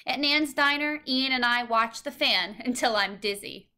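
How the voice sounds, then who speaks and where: Bright-voiced woman reading a storybook. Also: on the high side, moderate pace, smooth. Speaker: storybook reader. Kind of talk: scripted children's story narration, read aloud.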